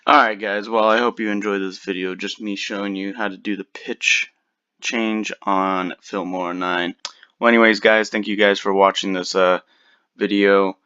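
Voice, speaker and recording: Low-voiced man giving a casual tutorial. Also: flat delivery, moderate pace, pushed and bright, clear, resonant tone. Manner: informal, chatty, addresses viewers as guys. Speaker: tutorial video host. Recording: slightly dull, lo-fi home recording.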